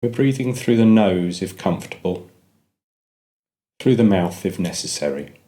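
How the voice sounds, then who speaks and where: Low voiced guy doing guided meditation, gentle n measured, leaves pauses. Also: lively intonation.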